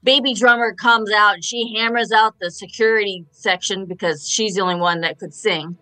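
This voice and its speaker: A woman's voice: medium pitch, steady tone, moderate pace, bright, forceful tone, poor audio. A woman giving opinions in a casual radio roundtable, telling it like a story and acting out quotes.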